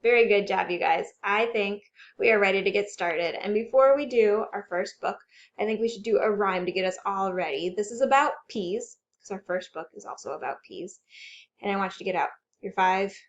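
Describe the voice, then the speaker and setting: A woman hosting a children's storytime, reading aloud and chanting rhymes in an animated, exaggerated way for young children. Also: medium pitch, moderate pace, lo-fi sound. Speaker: children's librarian storytime host.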